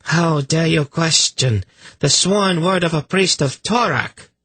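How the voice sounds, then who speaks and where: A scheming fantasy priestess played by a man with a throaty, husky purr. The voice is lifted well above the narrator's own, smug, imperious and full of menace. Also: mid-range voice, sing-song, a little slow, no room sound, pitched above the performer's own voice. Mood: imperious anger. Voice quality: throaty, almost purring.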